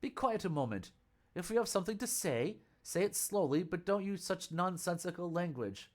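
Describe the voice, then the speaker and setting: A man's voice: high voice, expressive, normal speed, pitched above the performer's own voice. Man putting on a fussy, fretful old monk. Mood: indignant. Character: fussy, frightened old Buddhist monk master.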